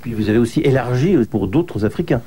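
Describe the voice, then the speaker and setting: A man's voice: mid-range voice, animated, a little fast, mellow, slight background noise. A male host asking polite, leading interview questions and summing up his guests' story.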